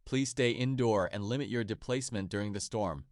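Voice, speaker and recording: A man's voice: fairly low pitch, fairly quick, very noisy. A man reading a scripted emergency weather warning in a formal announcer manner. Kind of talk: scripted emergency alert narration.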